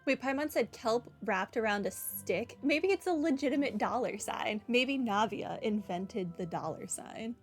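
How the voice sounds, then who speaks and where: A woman's voice: on the high side, lively intonation, a little slow, few pauses, faint music behind. A young adult woman streaming a video game, giving casual, chatty playthrough commentary. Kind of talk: casual playthrough commentary, reading game dialogue options aloud.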